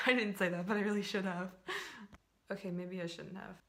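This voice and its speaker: A woman's voice: medium pitch, steady tone, normal speed, gravelly. A young woman from the United States with a standard American accent, chatting animatedly to the camera in a casual vlog from a small bedroom, gesturing as she talks. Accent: General American. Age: young adult. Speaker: vlogger.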